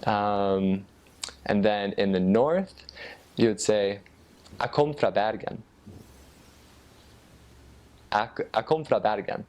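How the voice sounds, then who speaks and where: American male, deep register, informal explainer, somewhat halting delivery as he thinks aloud. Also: a little mellow. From United States. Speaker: former missionary explaining Norwegian dialects. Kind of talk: casual explanatory talk.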